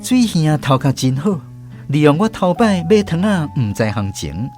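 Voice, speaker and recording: Expressive male radio drama narrator. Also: fairly high pitch, moderate pace, soft background music.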